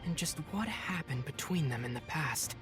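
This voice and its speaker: A man's voice: on the high side, animated, moderate pace, over music, close to an everyday voice. An actor playing an earnest, compassionate teenage boy swordsman who narrates his memories reflectively in a video game. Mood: grave, puzzled. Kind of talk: scripted narration recounting memories.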